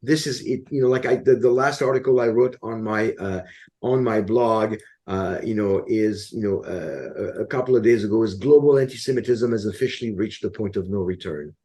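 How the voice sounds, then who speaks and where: A man hosting his show, talking in a halting, casual way with many restarts and repeated words. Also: fairly low pitch, warm tone. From Dallas area. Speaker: show host. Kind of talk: casual conversation.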